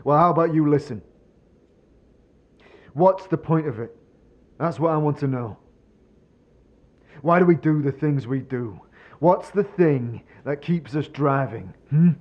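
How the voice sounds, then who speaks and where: Man voicing a despairing, bitter, slow-spoken man. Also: on the high side, lo-fi sound, pitched above the performer's own voice. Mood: bitter, agitated. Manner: brooding, sardonic, rhetorical questioning. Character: despairing, bitter man who has lost all faith. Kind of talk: dramatic fantasy audio drama acting.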